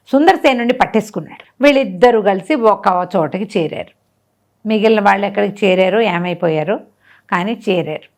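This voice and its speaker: A woman tells a folk tale conversationally, asking rhetorical questions and acting out the dialogue. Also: mid-range voice. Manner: animated retelling with rhetorical questions and acted-out dialogue. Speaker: storyteller.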